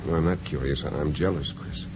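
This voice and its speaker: An actor playing an earnest, jealous suitor in a 1950s radio drama, halting and sheepish. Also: male voice, rumbling low. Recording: dull, noisy 1950s radio recording.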